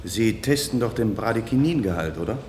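An actor playing a friendly, blunt laboratory colleague in a German radio play, speaking colloquially. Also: male voice, lowish voice, animated, brisk, a little mellow, reverberant, a little hiss or hum, lightly acted. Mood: astonished.

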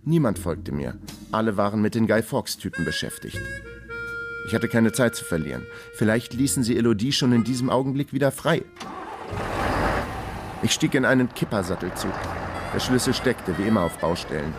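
An actor playing a wry, hapless investigator who narrates his own case in a deadpan way in a radio crime drama. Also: on the low side, normal speed, close to an everyday voice. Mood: laconic, matter-of-fact. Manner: laconic, deadpan. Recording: music behind the voice.

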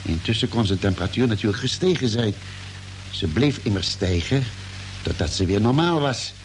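A man playing a calm, caring spaceship doctor with a measured, deliberate way of explaining, in a vintage Dutch radio drama. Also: fairly low pitch, natural voice. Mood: thoughtful, explaining. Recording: noisy old radio drama recording.

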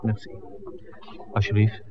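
An actor playing an inquisitive film-maker visiting a village in a 1960s radio play, conversational and full of questions. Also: male voice, a little slow, close to an everyday voice. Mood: polite. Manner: questioning, conversational, hesitant asides. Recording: tinny, noisy 1960s radio recording.

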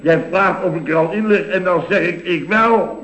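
A man's voice: sits high, moderate pace, background noise. An actor playing a chatty, bemused company boss in a vintage Dutch radio comedy, hesitating and repeating himself as he rambles. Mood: bewildered storytelling. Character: male boss of a contracting firm, chatty, bemused houseguest. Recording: dull, boxy vintage radio recording, roomy.

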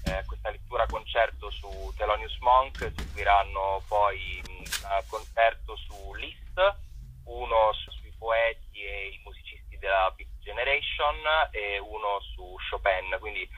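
A man, a theatre director, explaining his theatre's programme in a measured way during an interview. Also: on the low side, fairly even intonation, a bit bright, a little hiss or hum, rough recording. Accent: Roman Italian.

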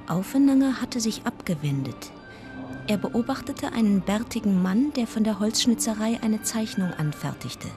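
A woman's voice: medium pitch, animated, normal speed, lightly acted. Actress as woman narrator over music. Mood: observant. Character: observant, gently wry woman narrator recalling travels. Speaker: narrator in a radio drama. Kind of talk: scripted first-person narration.

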